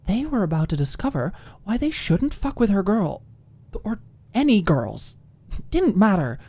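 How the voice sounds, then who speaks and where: A woman narrating an ASMR audiobook in a deliberate, expressive, breathy voice that often drops to a near whisper. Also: medium pitch.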